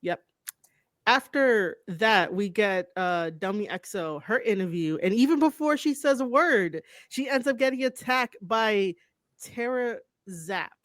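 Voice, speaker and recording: A woman hosting a wrestling review podcast in relaxed, casual chat, with lots of fillers. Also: mid-range voice, unhurried, no room sound, studio quality. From Puerto Rican heritage. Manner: relaxed, filler-heavy, easygoing. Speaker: podcast host.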